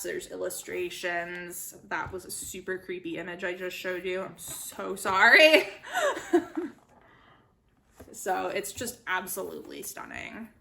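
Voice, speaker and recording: Young woman doing a chatty book vlog, bright clear-cut tone, kinda giggly and self-deprecating. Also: medium pitch. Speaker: book vlogger, channel host.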